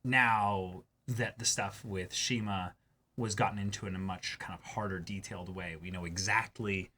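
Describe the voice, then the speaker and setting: A man with a bright, forward voice pitched a little low co-hosts a casual discussion show. He leans on his words, stretching and repeating phrases for emphasis as he gives his commentary. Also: steady tone, moderate pace, a little hiss or hum. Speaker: co-host of an anime reaction show.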